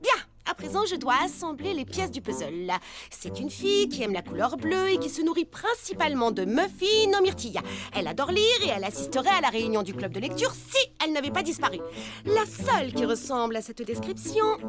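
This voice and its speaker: A woman's voice: high voice, sing-song, fairly quick. A woman playing a bookish, self-assured amateur girl detective in a children's cartoon, with a pompous, dramatic delivery. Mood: self-assured, inquisitive. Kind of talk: children's cartoon dub acting. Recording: music behind the voice.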